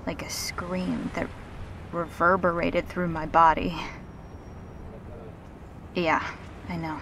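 A woman playing a gentle, reassuring revenant in an intimate audio roleplay, speaking earnestly and haltingly. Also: fairly low pitch, level tone, noisy background. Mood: patient, earnest. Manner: halting, earnest, soft-spoken pauses.

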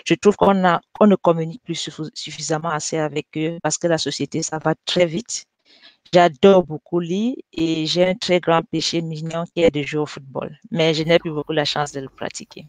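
A woman from Cameroon introducing herself on a video call, lively and enthusiastic. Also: sits low, normal speed, dry recording. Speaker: marketing manager, panelist. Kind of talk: self-introduction on webinar. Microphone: headset on video call.

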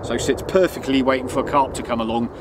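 A man in his thirties outdoors, explaining a fishing rig in a relaxed, casual way with frequent ums. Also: mid-range voice, quick pace, noisy background. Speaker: angler demonstrating fishing rigs. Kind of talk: casual product demonstration. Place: outdoors on a woodland path.

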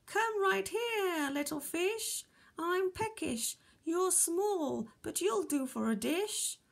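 A woman voicing a huge hungry whale in a children's story, booming and commanding. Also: lively intonation, takes it slowly, creaky, exaggerated voice.

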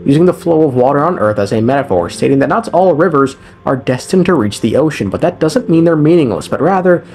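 A man's voice: a little high, lively intonation, quick pace, a little mellow. A young man narrating a casual, scripted game story recap with wry, irreverent, slangy asides. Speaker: game lore video narrator. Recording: music under the voice.

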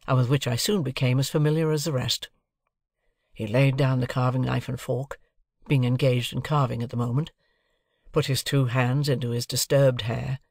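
A man's voice: mid-range voice, creaky, no room sound, clean studio sound. A man reading a classic novel as an audiobook narrator, in a literary storytelling style.

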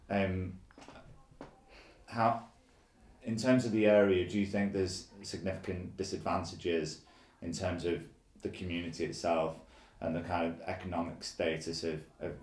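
A man's voice: low-pitched, a little mellow, echoey room. A man interviewing off camera, asking questions with many restarts. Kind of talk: oral history interview.

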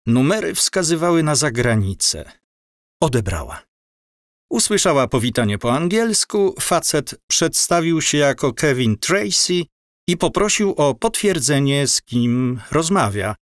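Slow, measured male narrator. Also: on the high side, big pitch swings, no room sound, clean studio sound. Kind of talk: scripted audio drama narration.

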